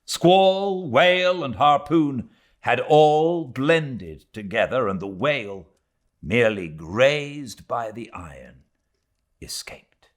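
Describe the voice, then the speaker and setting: A British man giving a dramatic reading of a literary audiobook, theatrical in delivery, moving between swelling intensity and hushed tones. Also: medium pitch, animated, slow. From Britain. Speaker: audiobook narrator.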